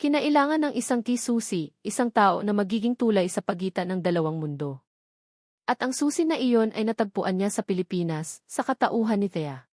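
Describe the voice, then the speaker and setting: Woman, Filipino accent, story narrator, scripted romance drama, medium pitch, dry recording. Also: a little mellow, no echo.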